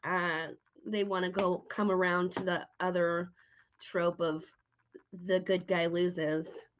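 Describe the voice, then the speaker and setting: A woman's voice: fairly low pitch, level tone, takes it slowly, slight background noise. Woman interviewer, slightly muffled lo-fi sound. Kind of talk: casual chat about horror.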